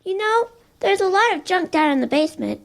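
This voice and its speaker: A woman's voice: extremely high pitch, very animated, fairly quick, gravelly, full character voice. A woman playing a timid, worried little schoolgirl in an audio drama, speaking hesitantly and plaintively. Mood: curious, hopeful.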